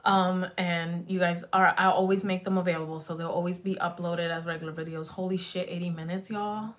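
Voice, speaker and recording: Woman, thirties, slightly raspy, lowish voice, casual profane livestream chat, home desk webcam. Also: steady tone, brisk, fairly bright tone. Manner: loose and profane, slips into Spanish words. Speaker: beauty vlogger. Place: small home beauty room, indoors.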